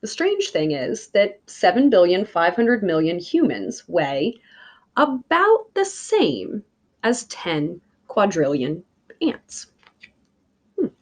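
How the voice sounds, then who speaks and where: A woman reading a picture book aloud like a teacher, with deliberate, emphatic phrasing for young listeners. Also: medium pitch, wildly swooping pitch. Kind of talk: children's read-aloud.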